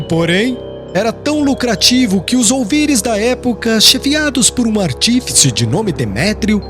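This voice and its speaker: A Brazilian man reading an audiobook as dramatic scripted narration, in a measured, formal and theatrical storytelling manner. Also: high-pitched.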